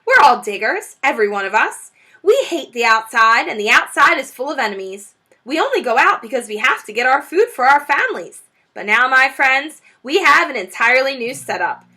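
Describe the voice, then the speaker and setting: Jolly fox leader, rousing speechmaker; a woman reading does him, bright and animated. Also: high voice, a little fast, exaggerated voice. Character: proud, cheerful male fox leader.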